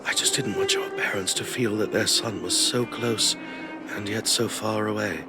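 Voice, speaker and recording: A man's voice: fairly low pitch, steady tone, normal speed, lightly acted. A man playing an anxious older family retainer in a video game, courteous, apologetic and hesitant. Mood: regretful. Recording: loud game music behind voice.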